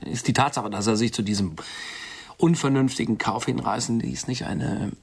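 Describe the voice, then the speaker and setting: A man's voice: medium pitch, moderate pace, vocal fry, husky. An actor playing a touchy, nervous, sardonic middle-aged man in a German radio drama, wry and hesitant, often repeating himself. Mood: earnest, conciliatory.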